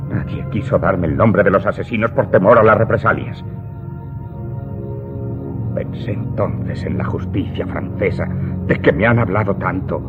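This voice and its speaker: A man's voice: deep voice, lightly acted. An actor playing an aggrieved servant earnestly telling his story to his master in a radio drama. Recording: muffled narrow-band audio drama recording. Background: loud music.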